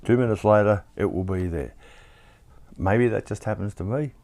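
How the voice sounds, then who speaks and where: Host type: lowish, slightly croaky male voice, easygoing and chatty, talking through a kit build. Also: moderate pace, a little mellow. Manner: chatty and easygoing, thinking aloud with asides. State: slightly croaky after being ill. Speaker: hobby shop host building a model kit. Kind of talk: casual build tutorial.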